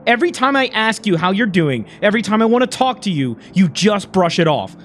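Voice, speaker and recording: A high, emotional young alien companion, acted out by a man in a dramatic story reading. The voice pleads and sounds wounded, moving widely in pitch over background music. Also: very animated, a little fast. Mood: hurt, frustrated.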